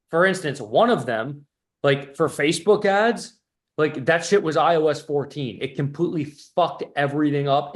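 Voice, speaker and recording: This is a man with a fairly high-pitched voice talking like a business coach on an informal call. He is blunt and swears freely. His delivery is emphatic and choppy, often restarting and repeating phrases. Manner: blunt, profane; emphatic, repetitive stop-start delivery.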